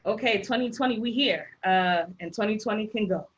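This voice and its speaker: A woman's voice: mid-range voice, a little fast, dull audio. A woman with a Haitian accent presenting a housing rights workshop over slides, emphatic and repeating her key points. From Haitian background.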